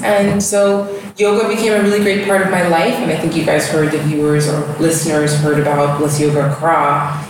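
A woman raised in the US, talking reflectively about her life as a guest in an interview at home, with fillers as she goes. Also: low-pitched. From Ghana, raised in the US. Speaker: guest, yoga studio founder. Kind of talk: casual interview talk. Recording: echoey room, distant mic, background noise.

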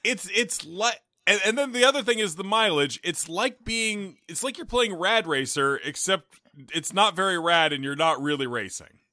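A man with a bright, forceful voice hosts a casual podcast, sounding tired but still trading deadpan jokes. He talks through a gaming headset, and the sound is dry and close with no room echo. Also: sits high, moderate pace. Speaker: podcast host. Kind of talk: casual chat.

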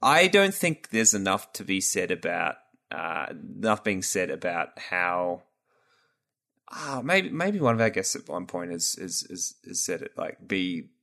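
A man's voice: low-pitched, big pitch swings, measured pace, creaky, pushed and bright. A man hosting a podcast, chatting casually and rambling through his points with many fillers and restarts. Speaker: podcast host. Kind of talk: casual chat.

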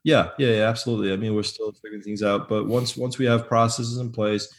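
A man being interviewed as a business owner, talking casually in a loud, emphatic way that often repeats words. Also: lowish voice, steady tone, quick pace.

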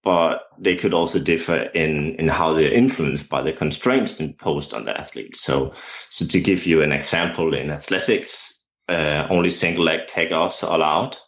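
A man's voice: very deep voice, normal speed, fairly bright tone. A man with a Danish accent, a coach answering interview questions in English, hesitant and full of fillers and restarts. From Denmark. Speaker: jumps coach and lecturer. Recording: dull lo-fi sound.

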